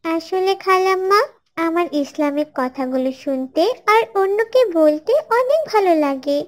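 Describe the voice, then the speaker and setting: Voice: very high voice, expressive, unhurried. A performer voicing a pious little schoolgirl in a cartoon, earnestly teaching others in a careful, preachy way. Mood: eager, devout. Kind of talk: scripted moral dialogue for an Islamic cartoon.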